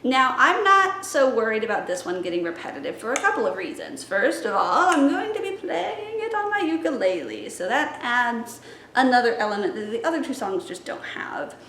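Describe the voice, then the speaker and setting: A woman's voice: a little high, big pitch swings, normal speed, a bit bright, a little hiss or hum. A young woman, a children's librarian, talks through her story time plans and breaks into chanted rhymes and songs. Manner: playful, sings and chants rhymes. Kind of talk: planning story time for viewers. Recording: echoey room with faint music.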